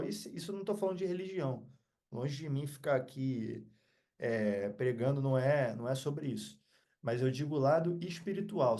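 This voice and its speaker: A man's voice: fairly high pitch, dark tone. A man with a Brazilian accent talking casually as a podcast guest, reflective, earnest and motivational in manner.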